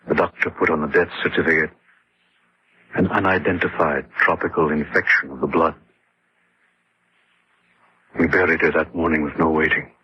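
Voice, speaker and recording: A man's voice: extremely low pitch, breathy edge, exaggerated voice. An actor playing an exhausted, grieving man who narrates his haunting in a confessional way in an old radio horror drama. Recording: dull lo-fi old radio recording.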